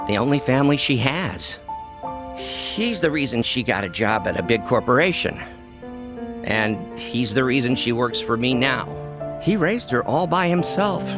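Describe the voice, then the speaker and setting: Male game character: caring, fretful employer, emotional and very animated, music behind. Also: medium pitch. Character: anxious, caring male boss fretting over his staff.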